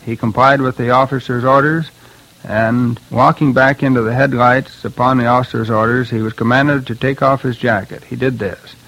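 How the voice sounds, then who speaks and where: A man's voice: mid-range voice, steady tone, moderate pace, a bit bright, poor audio. A man, a former law officer, giving a scripted account in a stiff, official manner.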